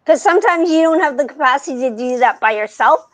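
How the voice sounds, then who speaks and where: High-pitched woman on a video call. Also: fast talker, dry recording. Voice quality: soft voice. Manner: animated, restarts sentences. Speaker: podcast host. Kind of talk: casual conversation. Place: home room, video call. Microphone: wired earbuds headset.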